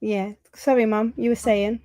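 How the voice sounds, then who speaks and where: A woman's voice: on the high side, level tone, moderate pace, dark tone, no echo. A woman hosting a casual livestream, chatting and reading viewer comments aloud. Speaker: livestream host.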